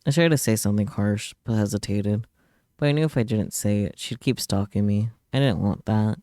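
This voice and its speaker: Casting: male streamer, mellow mid-range voice, dramatic read-aloud of visual novel narration, very dry close sound. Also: lowish voice, fairly quick, no echo.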